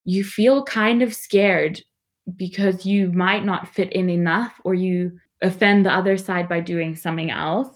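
A young woman giving a reflective personal account in a thoughtful, measured and candid way. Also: on the low side, fairly even intonation.

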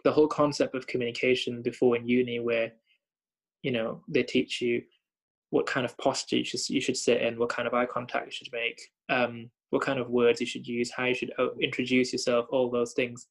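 A man's voice: medium pitch, flat delivery, brisk, gravelly, poor audio. A young man from Thailand working as a hospital doctor, talking thoughtfully with many fillers and repeated starts. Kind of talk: reflective conversation.

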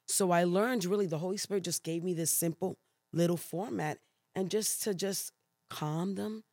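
Woman, New York accent, low voice, animated storytelling, dry close sound. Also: moderate pace, no room sound. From Puerto Rico. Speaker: pastor. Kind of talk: podcast interview.

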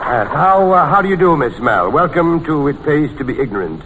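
An excitable, formally polite quizmaster in a 1940s radio comedy. His high voice swings widely as he repeats himself, flustered. The old recording is noisy. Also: fairly quick, muffled recording. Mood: courteous. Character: exasperated, excitable straight-man quizmaster.

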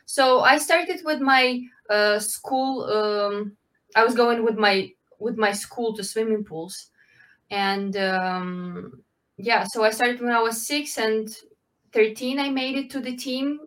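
A woman, answering interview questions in halting, full of fillers. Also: medium pitch, fairly bright tone. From Ukraine. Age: 20s. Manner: halting, with frequent fillers and restarts, non-native phrasing. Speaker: guest, Olympic swimmer.

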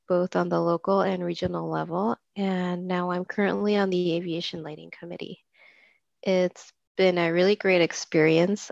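Woman from Peru with a gravelly voice. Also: fairly low pitch, darkish tone, no echo, muffled recording. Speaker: lighting designer guest. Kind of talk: podcast interview.

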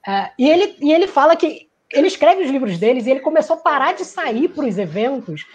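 A woman with a high voice gives a reflective talk, speaking like someone from the theater. She muses aloud and searches for words. When she quotes people, she briefly acts out their lines. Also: big pitch swings, a little fast, a little hiss or hum. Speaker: theater performer giving a talk.

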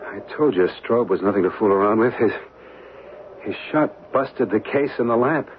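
A man's voice: fairly low pitch, normal speed, close to an everyday voice. An actor playing a blunt, scrappy, defiant man in a radio drama, speaking colloquially and emphatically. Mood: shaken relief. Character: defiant, plain-spoken American male adventurer, scrappy. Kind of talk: 1970s radio drama acting. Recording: tinny, band-limited old radio recording, faint noise.

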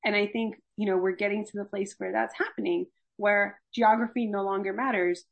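A young woman talks through healthy aging in an unhurried, earnest way, sometimes acting out passing diet fads. Her voice carries a gravelly fry and a husky grain. She sounds like a faculty expert chatting on a podcast. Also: medium pitch, fairly even intonation, highs cut off. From Los Angeles, USA. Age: young adult. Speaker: gerontology faculty guest. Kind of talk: conversational interview.

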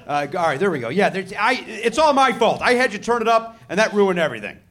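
Man hosting a live stage show, a touch high-voiced, very lively sarcastic riffing. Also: fast talker. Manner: riffing, sarcastic, profane asides. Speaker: comedy podcast host. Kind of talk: live stand-up banter with the audience. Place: theatre stage before a live audience.

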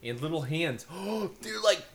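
A man's voice: a little high, lively intonation, slow, gravelly, slight background noise. A man playing a tabletop game, rambling playfully in casual chat. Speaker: player.

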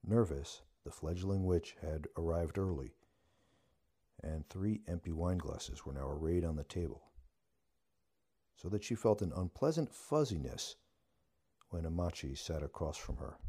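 Male narrator for literary fiction, very low pitch, dark mellow tone. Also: animated, unhurried, pauses often, slightly rough. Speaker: story reader. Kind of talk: scripted narration of a short story.

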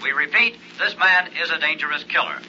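An actor playing an urgent, formal news announcer in a 1960s radio drama, clipped and emphatic over a car radio. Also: male voice, a little high, fairly quick, sharp tone. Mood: urgent, grave. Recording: dull, faintly noisy vintage radio recording.

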